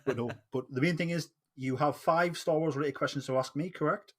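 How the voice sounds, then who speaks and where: A man's voice: on the high side, fairly quick. A man with a Geordie accent hosting a fan podcast in casual, upbeat chat, with frequent fillers and restarts. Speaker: podcast host.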